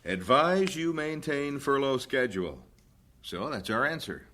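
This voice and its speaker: A practical missionary husband in a radio drama. His mid-pitched voice moves expressively, earnest and reassuring. A faint layer of noise sits on the old recording. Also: big pitch swings, unhurried, fairly bright tone. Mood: grave. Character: steady, practical missionary husband, gently teasing.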